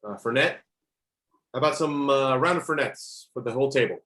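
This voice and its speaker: A man's voice: mid-range voice, a little fast, fairly bright tone, close to an everyday voice. A man playing a cocky, flirtatious macho soldier with casual swagger in an amateur table read. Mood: flirty, casual.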